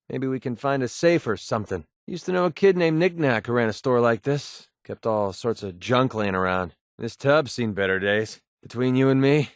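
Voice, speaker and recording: A man's voice: medium pitch, clean tone, no room sound, studio quality, lightly acted. A man playing a cynical, wisecracking young mercenary sniper in a video game, speaking in an offhand, grumbling, sardonic way. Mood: grumbling, uneasy. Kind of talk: video game companion dialogue.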